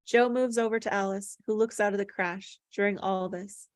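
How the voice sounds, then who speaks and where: A woman's voice: mid-range voice, steady tone, moderate pace, dry recording. A woman reading stage directions aloud at a table read, plainly descriptive, with occasional stumbles over the words.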